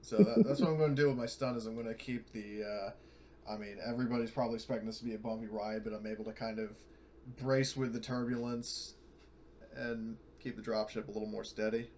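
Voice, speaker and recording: A man's voice: mid-range voice, quick pace, darkish tone, a little hiss or hum. Casual man playing a tabletop game. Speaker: tabletop game player, pilot character.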